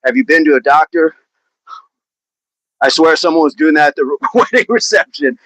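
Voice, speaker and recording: A man's voice: a little high, animated, moderate pace, fairly bright tone, dry recording, rough recording. A man hosting a fitness livestream, chatting casually and answering viewer comments in an upbeat, laughing, repetitive way. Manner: chatty, upbeat, laughs often, repeats phrases.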